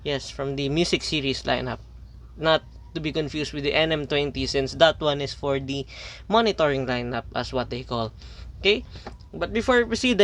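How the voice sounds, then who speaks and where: A man's voice: fairly high pitch, a bit bright. A man whose native language is Filipino, casually reviewing a product on camera, with frequent fillers, restarts and "okay" checks between points. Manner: hesitant, many fillers and restarts.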